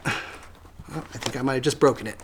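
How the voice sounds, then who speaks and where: An American how-to host with a mid-pitched voice, explaining a hands-on job step by step in a casual, conversational way. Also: fast, slight background noise. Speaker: host of an automotive how-to channel. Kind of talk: casual how-to tutorial narration.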